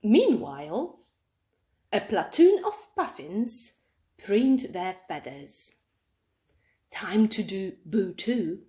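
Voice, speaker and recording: Expressive, slow-paced woman reading a story. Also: medium pitch. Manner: dramatic, animated storytelling. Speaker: children's story reader.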